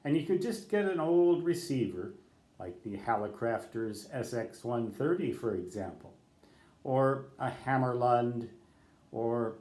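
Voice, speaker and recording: A man's voice: mid-range voice, a little mellow, reverberant. An older man who is a ham radio operator, giving rambling advice off the cuff, correcting himself and spelling out letters in radio code. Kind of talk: unscripted talk to camera.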